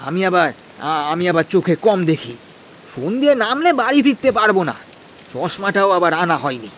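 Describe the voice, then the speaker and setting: A man's voice: sits high, big pitch swings, moderate pace, a bit bright, a little hiss or hum. A man voicing an anxious, stammering village farmer in a cartoon, with rustic speech. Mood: worried. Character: anxious, hard-working village farmer, timid husband. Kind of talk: cartoon voice acting.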